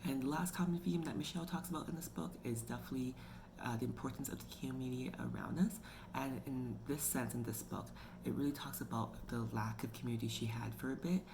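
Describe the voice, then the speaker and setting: Woman chatting about a book she read, kinda gravelly voice, lots of like and you know, noisy background hiss. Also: very deep voice, fast talker. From Canada. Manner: chatty, filler-heavy with frequent "like" and "you know". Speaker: book reviewer host. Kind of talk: casual book review talk. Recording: noticeable background noise.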